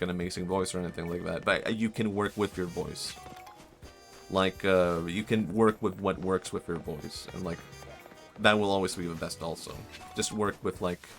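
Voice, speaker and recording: A young man chats live in a very low voice, rambling and hesitant, full of fillers and restarts. He pokes fun at himself as he goes. Faint music plays under his voice. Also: vocal fry. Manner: self-mocking, laughs softly. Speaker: streamer. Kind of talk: casual live-stream chat.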